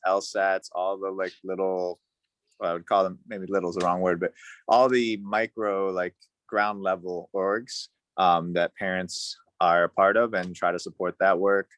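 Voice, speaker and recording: A very deep-voiced man from El Salvador introduces his school district role over a video call, earnest and friendly as he thinks aloud. The sound is dry and close, with no room around it. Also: no echo. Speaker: school district family engagement staffer. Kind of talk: introducing himself at a virtual community meeting.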